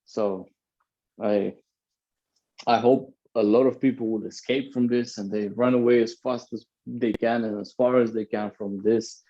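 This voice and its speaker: A man's voice: mid-range voice, steady tone, unhurried, mellow, muffled recording. A man from Romania chatting casually, earnest and rambling, often tagging "right?" and "you know". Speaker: NFT community host. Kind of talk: casual chat.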